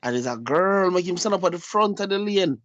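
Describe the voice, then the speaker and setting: A man with a thick Jamaican accent tells a story in casual patois, circling back and repeating himself. His voice sits a little high. The sound is dry and close, with no room echo. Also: animated, fairly quick, fairly bright tone, lo-fi sound. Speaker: podcast co-host. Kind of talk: casual chat.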